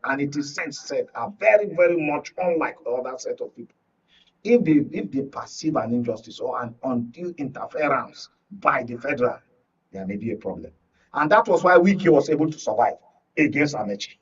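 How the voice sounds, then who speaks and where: Male Nigerian panel analyst, heavily raspy, emphatic and repetitive, muffled off-mic sound. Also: mid-range voice. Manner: emphatic, repeats words and phrases for stress. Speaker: studio guest, journalist and media analyst. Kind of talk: live political panel discussion. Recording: muffled, distant-mic broadcast audio.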